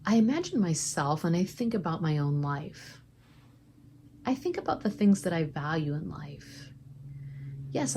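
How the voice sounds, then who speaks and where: Woman doing guided prayer meditation, lowish voice, gentle and unhurried, noticeable background noise.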